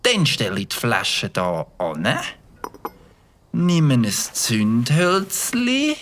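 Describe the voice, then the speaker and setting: Children's radio play boy, male actor: Swiss German accent, bright voice, eager and impish, slightly slow, faint noise behind. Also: medium pitch, heavily acted. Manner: excitable, thinking aloud. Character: Mike, eager mischievous young boy who loves firecrackers. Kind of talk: children's audio drama acting.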